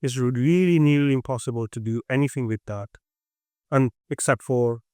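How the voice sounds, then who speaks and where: Male, mid-pitched and clear, emphatic conversational expert, dry close sound. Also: normal speed, darkish tone, dry recording. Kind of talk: animated industry discussion.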